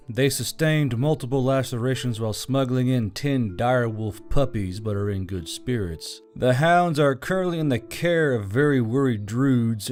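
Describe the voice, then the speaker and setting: Deadpan military officer, read by a man, medium pitch, formal report, dry amusement, audio story, light music. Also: natural voice.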